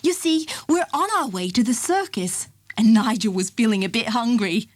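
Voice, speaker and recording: A woman's voice: fairly high pitch, expressive, normal speed. A woman voicing a man taking an elephant to the circus, who calls out and then apologises politely. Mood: apologetic.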